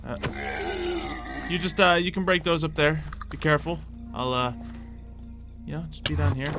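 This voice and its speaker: Casual male gamer over loud game music. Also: fairly high pitch, normal speed. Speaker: gamer playing a Minecraft roleplay series. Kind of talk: casual gameplay commentary.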